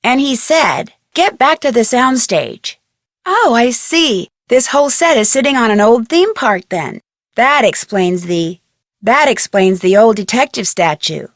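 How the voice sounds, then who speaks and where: A young woman voicing an inquisitive, polite young detective in a video game, speaking scripted lines clearly and earnestly. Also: fairly high pitch, animated, unhurried, dry recording, clean studio sound. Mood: earnest, inquiring.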